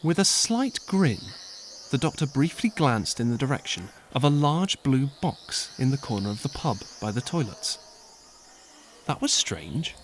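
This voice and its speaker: A man narrating an audio drama in an expressive, lively storytelling style. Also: mid-range voice, slight background noise.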